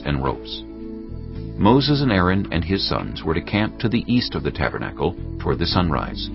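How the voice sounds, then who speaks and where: Very deep, gravelly male narrator. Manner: solemn, measured, formal. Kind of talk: scripted scripture narration. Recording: slightly muffled, music bed behind.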